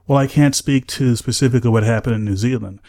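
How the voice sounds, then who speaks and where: An American man speaking as an aviation safety analyst, in a measured, careful, explanatory way. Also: medium pitch, warm tone. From United States. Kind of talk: measured explanatory interview talk.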